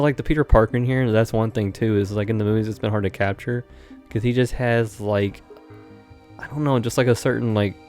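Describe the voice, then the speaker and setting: A young man from Indiana with a lowish, flat voice co-hosts a casual podcast, rambling loosely and filling his sentences. Faint music sits quietly underneath. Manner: rambling, lots of "like" fillers. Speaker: podcast co-host. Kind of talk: casual chat.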